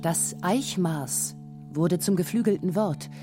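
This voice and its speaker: A woman's voice: on the low side, slow, over music. A woman reading scripted radio documentary narration in a terse, pointed way. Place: dry studio.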